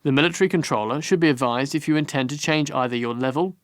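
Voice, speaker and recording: A man reading scripted instructional narration for a training course in a measured, formal manner. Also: fairly high pitch, dry recording, studio quality.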